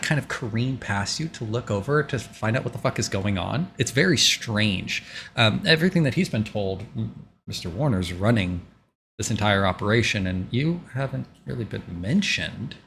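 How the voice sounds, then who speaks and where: A man's voice: lowish voice, expressive, normal speed, gravelly, fairly bright tone, slight background noise. A man narrating a tabletop game as game master in a loose, informal, improvised way.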